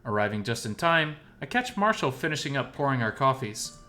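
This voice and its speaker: A man's voice: mid-range voice, big pitch swings, fairly quick, bright, forceful tone. A man reading scripted first-person story narration as the narrator.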